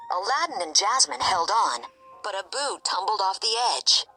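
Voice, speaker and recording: A woman narrating a scripted children's story in an expressive, animated way. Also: medium pitch, moderate pace, soft background music, low-quality recording. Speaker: storybook reader.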